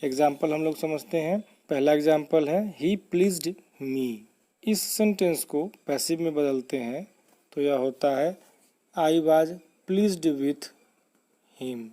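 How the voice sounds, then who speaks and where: A man teaching English grammar in a deliberate, step-by-step, instructive manner, as in a scripted lesson. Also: fairly high pitch, mellow.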